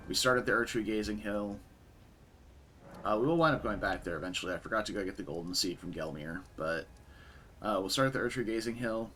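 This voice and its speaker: Young man, raspy voice, bright tone, laid-back streamer chat, faint hiss behind. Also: lowish voice, a little fast. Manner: relaxed, chatty, answers chat. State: raspy voice after a concert. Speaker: game streamer. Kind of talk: casual live-stream commentary.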